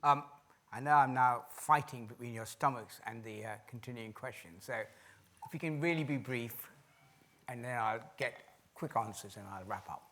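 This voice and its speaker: A man chairs a conference session at a middle pitch. He keeps things moving briskly, asking the speakers to be brief so he can wrap up. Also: a bit bright. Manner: brisk, wrapping up. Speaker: moderator.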